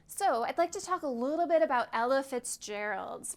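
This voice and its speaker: High-voiced woman reading a children's story. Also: animated, moderate pace. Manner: gentle, clear storytelling with counting prompts to children. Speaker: children's librarian.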